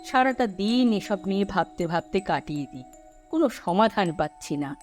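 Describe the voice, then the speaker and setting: An earnest, worrying husband and father from a cartoon, acted out by a woman. The delivery is exclamatory and very lively in pitch, over a music bed. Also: normal speed, lightly acted. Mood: anxious. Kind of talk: cartoon story dialogue.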